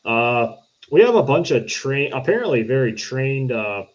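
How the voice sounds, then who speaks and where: A man chats as a podcast panelist, picking things apart with dry skepticism. His pitch is average but moves around a lot as he talks. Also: big pitch swings, moderate pace. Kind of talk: casual critical discussion.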